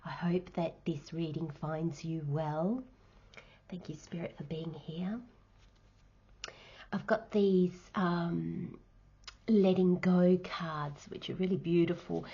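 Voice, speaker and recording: Woman, tarot reader, nasal, croaky, low mellow voice, soft half-whispers. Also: steady tone, dull audio. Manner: soft, unhurried, drops into whispers while laying cards. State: nasal and croaky from hay fever. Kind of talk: channelled tarot reading.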